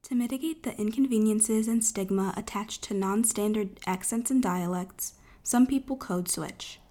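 A woman's voice: medium pitch, normal speed, warm tone, faint music behind. A woman narrator reading a scripted, explanatory documentary piece.

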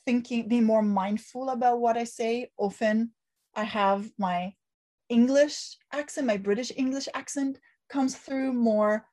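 A woman's voice: a little high, fairly even intonation, unhurried, warm tone. Reflective woman professor from Italy. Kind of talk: reflective interview talk.

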